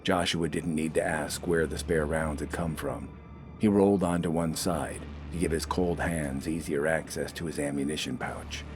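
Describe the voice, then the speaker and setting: A man's voice: rumbling low, steady tone, moderate pace. A man narrating scripted fiction in a dramatic storytelling style.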